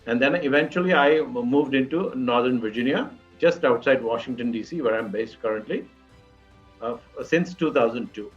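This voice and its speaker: A man in his fifties with an Indian accent, telling his life story as an interview guest in halting, repetitive phrases. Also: medium pitch. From Mumbai, India. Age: 50s. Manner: halting, repetitive phrasing with frequent you-knows. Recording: dull lo-fi call audio, music underneath.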